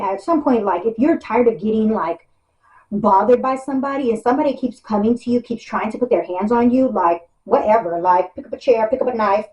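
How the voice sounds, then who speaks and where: A woman's voice: mid-range voice, a little mellow, muffled recording. Rambling woman in an echoey room. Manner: rambling, hesitant, slangy. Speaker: reality show cast member. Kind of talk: casual chat.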